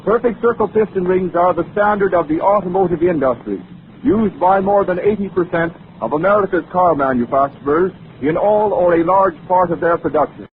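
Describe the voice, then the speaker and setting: A man's voice: sits high, a little fast. A man reading a scripted commercial in the style of a 1950s radio announcer. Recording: tinny, noisy old radio recording.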